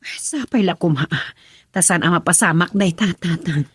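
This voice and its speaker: Actress as a low-voiced, caring mother. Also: moderate pace, a little hiss or hum, close to an everyday voice. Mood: glad, concerned. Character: caring middle-aged mother, happy yet concerned. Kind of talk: Ilocano radio drama acting.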